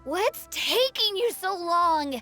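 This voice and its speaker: Casting: an eager, whiny small game child, piercingly bright and swooping in pitch. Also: female voice, animated, slow, heavily acted. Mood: impatient, pleading. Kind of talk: video game voice acting. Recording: game music behind the voice.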